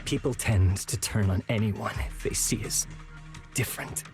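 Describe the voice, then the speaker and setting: A man's voice: lowish voice, a little slow, close to an everyday voice. A man voicing an earnest, trusting outcast watcher in a video game, speaking with a wondering, slightly hesitant manner. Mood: wounded, resigned. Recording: music behind the voice. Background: game music.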